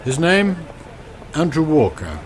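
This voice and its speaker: A man narrating a scripted radio drama in a theatrical, deliberate manner. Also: fairly high pitch, big pitch swings, slight background noise.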